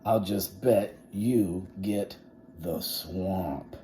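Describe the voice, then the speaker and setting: A man voicing a knowing big schoolkid in a storybook, warning younger children in an ominous, drawn-out way. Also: low-pitched, warm tone, slight background noise.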